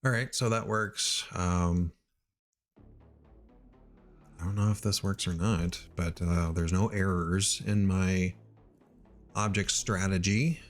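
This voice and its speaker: A middle-aged man from Missouri thinking aloud while he writes code, halting with many ums and uhs. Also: sits low, steady tone, soft background music. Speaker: live-coding streamer.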